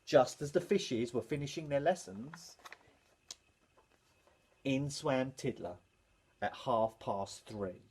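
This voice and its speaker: A man reading a children's bedtime story in an animated storytelling manner. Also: medium pitch, slow pace, long pauses, slight background noise.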